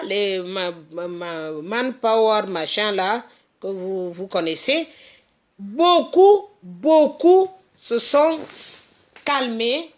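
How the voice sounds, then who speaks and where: A middle-aged woman from Cameroon delivers a passionate, unscripted monologue. She speaks emphatically, circling back and repeating phrases as she restarts her sentences. Her voice sits somewhat higher than most women's. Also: a bit bright. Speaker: community association founder. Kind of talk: impassioned monologue.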